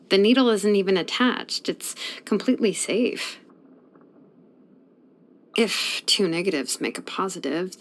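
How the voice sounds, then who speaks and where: Game role: woman voicing young female blacksmith, easygoing and wry, mid-range voice, chatty delivery with thoughtful pauses. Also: medium pitch, close to an everyday voice. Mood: casual, matter-of-fact. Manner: relaxed, wry, conversational with musing pauses. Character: laid-back, wry young female blacksmith and craftswoman of a fantasy tribe. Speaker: video game character. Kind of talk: scripted game character voice lines, conversational.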